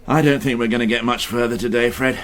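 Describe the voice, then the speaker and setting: An actor playing a senior police inspector in a radio detective drama, dry, authoritative and easily exasperated. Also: male voice, mid-range voice, fairly even intonation, fast talker, a bit bright, lightly acted. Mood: brisk, thoughtful. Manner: wry, authoritative, teasing.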